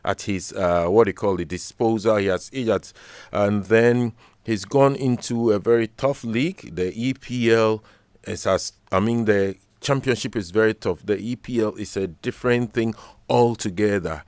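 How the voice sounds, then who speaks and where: A Ghanaian man with a Ghanaian English accent, giving measured and earnest analysis as a sports radio pundit and often repeating words while he thinks. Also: on the low side, a little mellow, no echo. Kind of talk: studio sports discussion. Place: radio studio.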